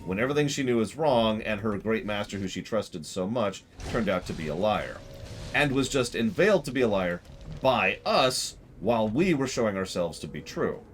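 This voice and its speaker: Guy streamer rambling about a game, emphatic, swingy low voice, some background noise. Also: sing-song, moderate pace. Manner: emphatic, digressive. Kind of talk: casual live game commentary.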